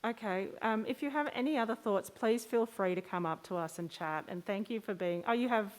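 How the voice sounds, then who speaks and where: A woman moderating a question-and-answer session, speaking in hesitant, halting phrases. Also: medium pitch.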